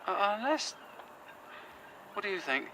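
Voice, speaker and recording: A man's voice: high-pitched, very animated, a little slow, sharp tone, a little hiss or hum, full character voice, poor audio. A man playing an anxious hopeful young man. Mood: earnest. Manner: nervous, earnest, hesitant with fillers. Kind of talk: audio drama acting.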